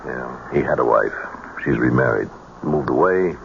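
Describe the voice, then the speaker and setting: Stern military colonel, bottom-register voice with swooping emphasis, grave and guarded, old radio drama. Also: male voice, very deep voice, lively intonation, normal speed, creaky, heavily acted. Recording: dull, noisy old radio broadcast.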